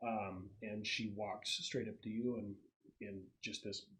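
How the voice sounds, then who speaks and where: A man's voice: lowish voice, level tone, measured pace, low-quality recording. A man acting as game master, narrating a tabletop roleplaying game in a conversational, explanatory way and thinking aloud as he goes.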